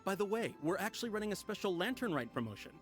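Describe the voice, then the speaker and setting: High-pitched, slick salesman. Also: very animated, fast. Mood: eager, persuasive. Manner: eager salesman patter with upsells. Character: slick smooth-talking male tea merchant pushing deals. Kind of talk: video game voice acting. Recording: music under the voice.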